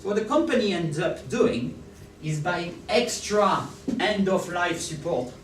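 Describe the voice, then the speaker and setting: A man's voice: sits high, a little slow, slight background noise. A man with a French accent giving a conference talk and often asking the audience if they follow. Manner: animated, asks the audience for agreement. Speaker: conference speaker. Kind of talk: presenting a talk. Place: large conference hall. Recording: roomy hall sound from a distance.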